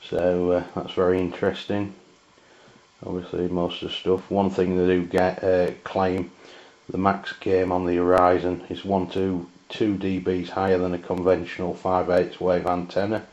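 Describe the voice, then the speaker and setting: English guy doing a casual gear review, low monotone, halting and matter of fact, muffled lo-fi audio. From England. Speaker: amateur radio reviewer. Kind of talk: casual hands-on product review. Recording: dull, lo-fi camera sound.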